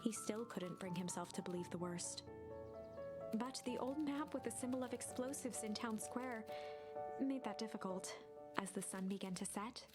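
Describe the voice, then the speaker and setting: A woman narrator reading a scripted storybook game in a measured storytelling voice. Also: on the high side. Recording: narration over game music.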